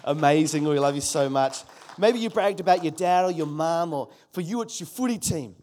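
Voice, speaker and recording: A man's voice: on the high side, expressive, moderate pace, a little mellow. Emphatic man preaching. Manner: emphatic, repeats key phrases, calls on the congregation. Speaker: pastor. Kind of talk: sermon.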